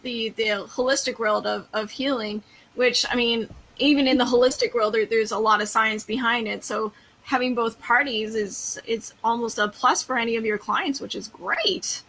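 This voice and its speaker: A woman's voice: on the high side, fairly bright tone, rough recording. A woman hosting an interview show, greeting her guest with enthusiastic, effusive praise. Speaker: podcast host.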